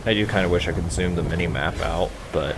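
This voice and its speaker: A man's voice: low voice, fast. A man giving casual, rambling let's play commentary, hesitant and casually profane. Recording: noisy home gameplay recording.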